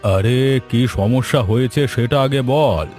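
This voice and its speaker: A man voicing a stern older village headman in a cartoon, with a measured, questioning manner. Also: mid-range voice, darkish tone, close to an everyday voice. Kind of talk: cartoon voice acting. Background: background music.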